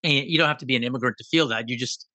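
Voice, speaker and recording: Bright-voiced man telling a personal story. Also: medium pitch, very fast, no room sound. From Guyana. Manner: animated, reflective, self-deprecating. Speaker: guest.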